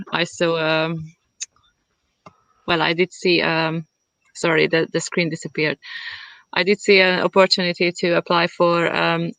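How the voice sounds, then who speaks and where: A woman from Hungary with a Hungarian accent, chatting as an interview guest in a halting way as she searches for words. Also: fairly low pitch, creaky, bright, forceful tone, no room sound. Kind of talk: casual conversational interview. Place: at home in the countryside.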